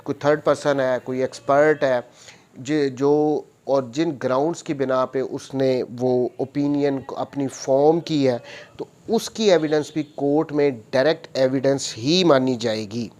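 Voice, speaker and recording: Slow-paced Pakistani man giving a lecture. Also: medium pitch, fairly even intonation, darkish tone. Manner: methodical, repeats and rephrases each point. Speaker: law lecturer. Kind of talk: explanatory lecture, reading statute clauses and explaining them.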